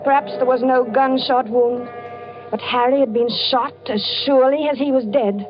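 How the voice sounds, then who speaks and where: A woman's voice: high voice, steady tone, normal speed, natural voice. An actress playing a frightened wife who narrates her husband's death with earnest, anxious storytelling in a 1940s radio drama. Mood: somber. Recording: dull vintage radio recording with music.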